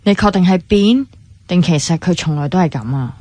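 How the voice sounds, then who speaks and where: An actress playing a blunt, sceptical young confidante in conversational Hong Kong Cantonese radio drama dialogue. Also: female voice, on the low side, animated, fairly quick, warm tone, dry recording.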